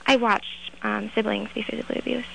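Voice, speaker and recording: A woman in her twenties with a high voice, calling in to a radio advice show and answering hesitantly, with many pauses and ums. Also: flat delivery, gravelly, sharp tone, a little hiss or hum, low-quality recording. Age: 20s. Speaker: caller.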